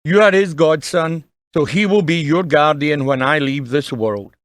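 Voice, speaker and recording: Man playing a kindly father, higher-pitched, dry. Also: measured pace, no echo, natural voice. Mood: earnest, reflective. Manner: earnest, gentle, solemn instruction. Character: kindly, earnest dying father advising his young son. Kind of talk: audio drama acting.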